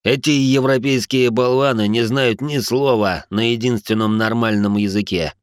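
An actor playing a blunt, mocking American cop of about forty in an audiobook. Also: male voice, mid-range voice, fairly even intonation, normal speed, clear, resonant tone, no room sound, studio quality, natural voice. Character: gruff bald American cop around forty. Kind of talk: audiobook character voice.

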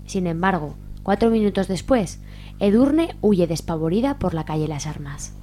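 A female narrator with an accent from Spain reads a scripted radio serial. Her voice is mellow and a little low, with faint noise behind it. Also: expressive, normal speed. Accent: Spanish, from Spain.

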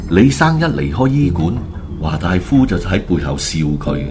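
A man's voice: low voice, sing-song, moderate pace, over music. A man with a Hong Kong Cantonese accent, narrating a scripted comic radio story.

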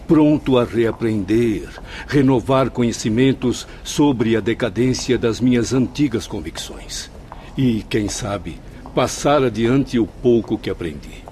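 A man's voice: medium pitch, a little slow, dark tone, a little hiss or hum, natural voice. An actor in a radio drama playing the spirit of a remorseful male professor, formal, earnest and penitent. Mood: penitent, resolute.

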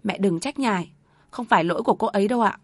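Protective young husband, earnest and steady, voiced by a woman narrator. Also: mid-range voice, quick pace, breathy edge, no room sound, clean studio sound, natural voice. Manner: earnest, pleading then firm. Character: young husband, calm but firm, protective.

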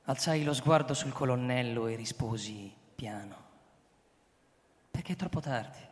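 An actor playing a grave, resigned man who tells of a catastrophe in a sober, deliberate way, as in a radio drama. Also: mid-range voice, natural voice. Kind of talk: radio drama acting, first-person narration. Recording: long hall reverb.

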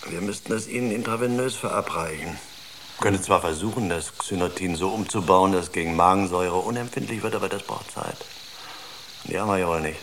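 An actor in a German radio drama playing a worried, insistent spaceship crewman. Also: low voice. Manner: earnest, insistent. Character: worried, urgent male spaceship crewman arguing with colleagues. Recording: clearly noisy ambience behind the voice.

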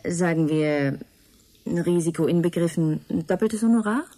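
A woman's voice: lowish voice, measured pace, mellow. A woman acting in a radio drama as a shrewd, helpful office colleague with a wry, knowing manner. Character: shrewd, helpful woman working at an information desk. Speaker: actor.

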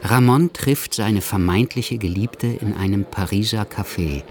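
A man narrating a literary radio drama in a measured, storytelling manner. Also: fairly low pitch.

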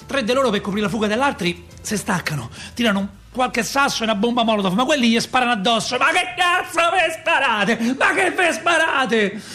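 A man's voice: very high-pitched, animated, a little fast, few pauses, bright, forceful tone, faint music behind, full character voice. A male actor playing a grieving working-class Roman father, telling his story in an emotive, colloquial radio monologue with a Roman accent. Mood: frantic, desperate.

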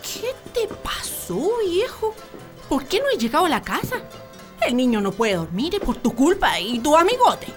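Shrill-high, nagging country wife. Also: female voice, very animated, moderate pace, soft background music. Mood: scolding. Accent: rural Chilean. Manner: complaining, anxious. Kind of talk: radio drama acting.

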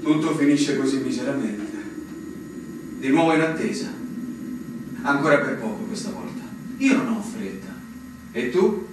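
An actor playing a young, newly commissioned army lieutenant, polite, eager and unsure, in a theatrical radio drama. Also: male voice, mid-range voice, expressive, moderate pace, long pauses, lightly acted. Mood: wistful, weary. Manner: formal, deferential to superiors. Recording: distant, very echoey, noisy stage recording.

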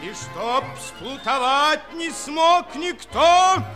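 A boisterous herald from a children's audio play, proclaiming rhymes in a very high, bright voice. He stretches his words out theatrically and very slowly, with faint music behind him in an old 1960s studio recording. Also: lively intonation, heavily acted. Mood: grandly proclaiming. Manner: declamatory, theatrical, drawn-out proclaiming. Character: boisterous rhyming male tournament herald in a fairy tale.

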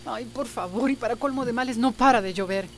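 Radio drama role: woman plays flustered housewife, fretful, halting, fairly high-pitched. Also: slight background noise, lightly acted. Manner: fretful, stammering. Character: anxious, flustered wife dealing with a leaking house. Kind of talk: radio soap opera acting.

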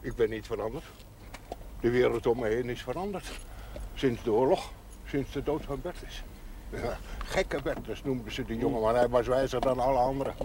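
An actor playing a kindly, slightly odd village garbage collector who chuckles often and talks in a folksy, mysterious way, from a 1970s television drama. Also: male voice, mid-range voice, normal speed, darkish tone, close to an everyday voice. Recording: noisy 1970s TV audio.

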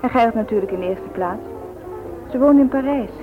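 A woman's voice: on the high side, a little fast, phone-quality sound, music in the background, close to an everyday voice. A woman playing a friendly, unpretentious young student and aunt in a radio play, chatty. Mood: sombre. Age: young adult. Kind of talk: vintage Dutch radio play acting.

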